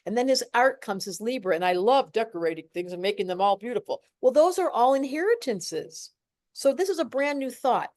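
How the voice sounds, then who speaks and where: A woman hosting a podcast, talking in a playful, animated way and acting out little voices as she tells her stories. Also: fairly high pitch. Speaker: podcast host, astrologer. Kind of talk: casual podcast conversation.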